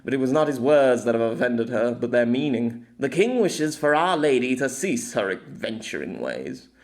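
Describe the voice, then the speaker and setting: A sardonic bowman of a fantasy audiobook, as a man acts him out. He talks in a middle pitch with a sneering, knowing air and a permanent scowl. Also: big pitch swings, normal speed.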